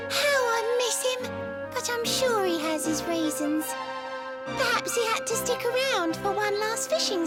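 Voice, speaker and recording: A trusting, lovelorn mermaid girl speaks in rhyme in a game cutscene. Her voice is extremely high and unhurried, lilting through sing-song lines, with loud music behind her. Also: full character voice. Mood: wistful, pining. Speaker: video game character actor. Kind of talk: rhyming theatrical cutscene dialogue. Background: loud game soundtrack music.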